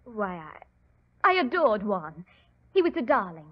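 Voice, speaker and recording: A woman's voice: medium pitch, very animated, a little slow. An actress playing an anxious, well-bred young society woman in an old radio mystery, earnest and melodramatic. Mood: demure, hesitant. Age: young adult. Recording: dull, muffled 1940s radio recording.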